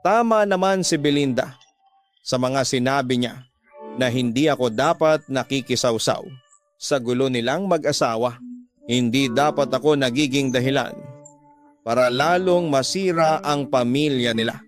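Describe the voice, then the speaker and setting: A man with a Filipino accent narrates a radio drama in the first person. His medium-pitched voice carries the scripted story with feeling, over background music. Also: normal speed. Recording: music bed behind the voice.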